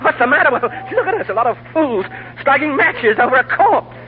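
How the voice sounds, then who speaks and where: A man's voice: very high-pitched, sing-song, fairly quick. An actor playing an impatient, hot-headed young Englishman, agitated and indignant, in 1940s radio drama style. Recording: muffled 1940s radio broadcast, loud music.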